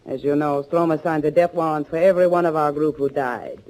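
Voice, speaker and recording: An actress playing a determined German underground agent in a 1940s radio thriller, speaking in measured, formal phrases. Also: female voice, sits low, fairly even intonation, darkish tone, natural voice. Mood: firm, resolute. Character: German underground woman recounting a vengeance mission, grave and determined. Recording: muffled 1940s radio recording.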